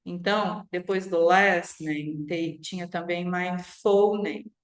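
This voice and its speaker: A woman's voice: fairly low pitch, fairly even intonation, slow pace. A Brazilian woman giving an online English lesson as a teacher, instructive and often checking in with short tag questions. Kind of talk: online language lesson to viewers. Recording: roomy, echoing sound.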